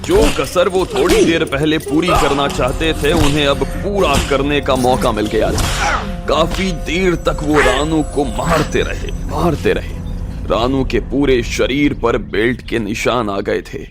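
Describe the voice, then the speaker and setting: A man's voice: mid-range voice, a little slow. A man narrating a scripted audio story in a dramatic storytelling style. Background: faint music.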